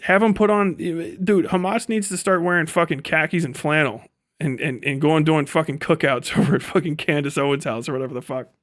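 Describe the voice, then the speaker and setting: A man's voice: on the high side, fairly quick, a bit bright, dry recording, studio quality. A man doing casual livestream commentary, talking in an emphatic, profane, ranting way. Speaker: livestream host.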